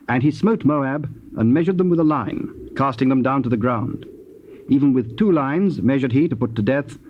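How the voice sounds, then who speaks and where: A man with a British accent narrating scripture in a formal, measured, scripted style. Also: medium pitch. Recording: muffled tape, faint background noise.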